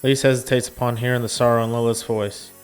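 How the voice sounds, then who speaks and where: A man's voice: medium pitch, flat delivery, quick pace, clean tone, faint music behind. A man reading a visual novel aloud as a gaming streamer, in a storytelling style with casual asides.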